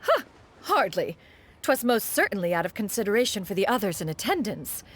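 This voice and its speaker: A woman's voice: medium pitch, sing-song, fairly quick. A woman voicing an ancient crane spirit in the form of a stately old woman, speaking in a formal, archaic, haughty way.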